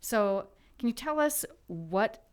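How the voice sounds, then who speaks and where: A woman's voice: mid-range voice, lively intonation, slow pace, a little hiss or hum. A woman hosting a studio interview, chatty and friendly. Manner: friendly, chatty, laughs often. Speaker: host.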